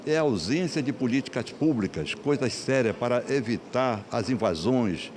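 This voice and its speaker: A man's voice: fairly low pitch, background noise. A man who works in the legal field giving an earnest, emphatic interview statement.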